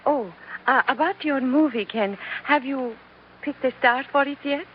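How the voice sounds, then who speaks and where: A woman's voice: sits high, measured pace. An actress playing a romantic, lonely young woman with a coy, eager manner in 1940s radio drama style. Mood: coy, warm. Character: romantic, lonely, modest young plantation heiress. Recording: muffled, noisy old radio broadcast.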